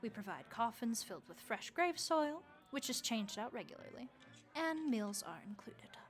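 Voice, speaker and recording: A woman voicing a friendly, motherly vampire innkeeper who speaks gently and reassuringly to the listener in an audio roleplay. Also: a little high, very animated, moderate pace. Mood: welcoming.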